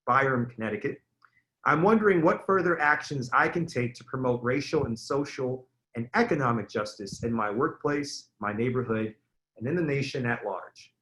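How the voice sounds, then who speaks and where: A man moderating a panel discussion in a conversational way, with earnest, deliberate phrasing and small rhetorical check-ins. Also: medium pitch, fairly bright tone, rough recording. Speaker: forum moderator.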